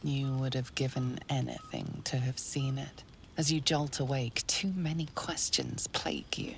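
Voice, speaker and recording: A woman narrating a dark video game as an ominous, brooding storyteller, deliberate and at times hushed. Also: extremely low pitch, steady tone, creaky, a little hiss or hum, full character voice. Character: ominous female narrator voicing dark inner thoughts.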